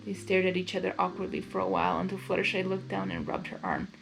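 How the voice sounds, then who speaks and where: Excitable woman reading a story over music. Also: fairly low pitch, quick pace, gravelly, low-quality recording. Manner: informal, excitable, gushing in asides. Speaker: host reading fan fiction aloud. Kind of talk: storybook narration with casual reaction asides.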